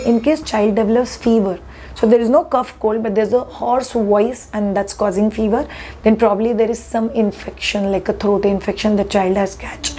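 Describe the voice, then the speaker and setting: A woman with an Indian English accent and a slightly hoarse voice, explaining things to parents in an earnest, reassuring way, asking questions and answering them herself. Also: mid-range voice, mellow, a little hiss or hum, poor audio. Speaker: parenting educator.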